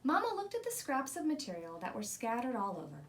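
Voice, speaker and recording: A woman reading a children's storybook aloud in an expressive narrating style. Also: on the high side, fairly quick. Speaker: storybook reader.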